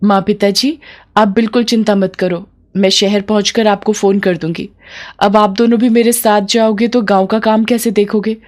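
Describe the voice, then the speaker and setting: A woman plays a young village bride in a moral cartoon tale. She speaks earnestly and with emotion, lifting her pitch a little. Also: flat delivery, moderate pace, lightly acted. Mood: reassuring, determined. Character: young village bride, devoted, distressed, pleading.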